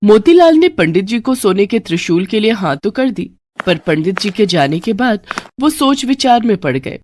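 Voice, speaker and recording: A woman's voice: mid-range voice, big pitch swings, normal speed, darkish tone. A woman narrator giving scripted narration of a cartoon moral story.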